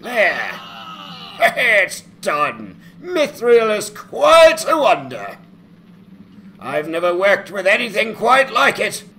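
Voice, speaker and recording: A man puts on a hearty dwarf smith from a fantasy game. He is excitable and exclamatory, his pitch a little high and leaping around. Faint noise sits behind the voice. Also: takes it slowly, exaggerated voice. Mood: excited, jolly. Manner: exclamatory, gleeful.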